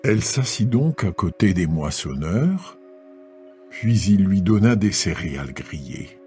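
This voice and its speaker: A man's voice: low-pitched, very animated, a little slow, a little mellow, faint music behind. A man narrating a dramatised scripture reading as a scripted storyteller.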